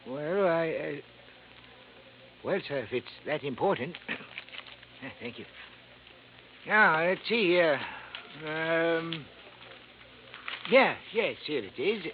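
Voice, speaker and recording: A fussy hotel clerk with a fairly high voice, hesitant and servile. The vintage radio sound is muffled, with clear background noise. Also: male voice, animated, very slow, pauses often, exaggerated voice. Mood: obsequious, hesitant. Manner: hesitant, stammering, deferential. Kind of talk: old-time radio acting.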